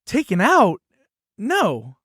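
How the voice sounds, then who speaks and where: A woman's voice: mid-range voice, wildly swooping pitch, very slow, a bit bright, slightly rough, dry recording, clean studio sound, exaggerated voice. A woman acting as a cheerful, naive library book in a musical puppet show, earnest and questioning. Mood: alarmed, bewildered. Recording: music behind the voice.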